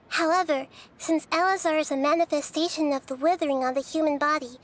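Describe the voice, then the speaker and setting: A woman voicing a small, wise, gentle child goddess in a video game, high and childlike, speaking thoughtfully and with care. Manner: thoughtful, measured, slightly formal phrasing. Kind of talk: video game character dialogue.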